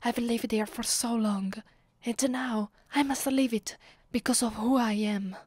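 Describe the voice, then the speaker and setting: A woman with an Italian accent playing a bitter, possessive, centuries-old vampire aristocrat in an intimate ASMR roleplay, speaking softly and dramatically, often dropping into a breathy whisper. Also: a little high, fairly even intonation, dry recording. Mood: bitter, brooding. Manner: slow, dramatic, imperious.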